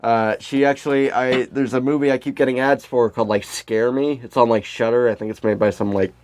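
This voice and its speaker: A man co-hosts a casual podcast with a mid-pitched voice. He rambles through jokey banter and swears freely. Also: steady tone, a little fast, a little mellow, no room sound. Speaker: podcast co-host.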